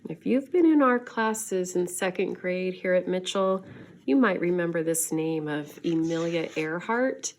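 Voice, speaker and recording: A woman reading a children's story aloud in an expressive, deliberate teacher's manner. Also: on the low side. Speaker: schoolteacher reading to students.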